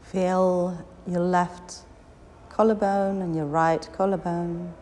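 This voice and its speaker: A woman guiding a yoga nidra meditation, giving soothing, unhurried instructions with long pauses between short phrases. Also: fairly low pitch, flat delivery, darkish tone. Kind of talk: guided meditation. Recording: long reverberant hall, background noise.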